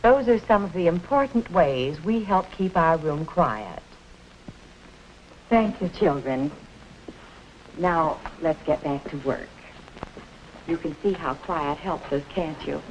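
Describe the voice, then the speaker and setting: A woman's voice: low voice, animated, takes it slowly, pauses often. A woman playing a kindly, orderly primary school teacher in a 1950s educational film, patiently instructing young children. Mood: patient, instructive. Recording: noisy, band-limited 1950s film soundtrack.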